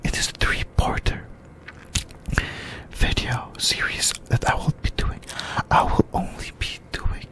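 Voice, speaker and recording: Slow, whispering ASMR host. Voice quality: breathy unvoiced whisper. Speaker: host of an anime discussion video.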